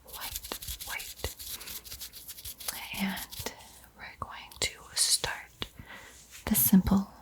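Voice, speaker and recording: A woman whispering softly and breathily in a soothing, playful ASMR roleplay as she guides a painting tutorial. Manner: slow, soothing, playfully teasing. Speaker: art tutorial host.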